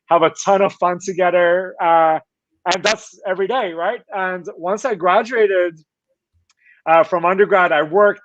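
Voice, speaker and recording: Higher-voiced man on dull, dry call. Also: no room sound. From Turkey, later Scotland. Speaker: guest, company founder. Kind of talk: conversational interview storytelling.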